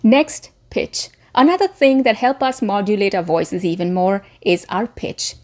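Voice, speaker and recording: A woman's voice: medium pitch, lively intonation, a little slow, darkish tone. A woman hosting a scripted instructional video like a trained presenter, articulate and emphatic, posing rhetorical questions. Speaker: former media presenter and voice coach hosting tutorial.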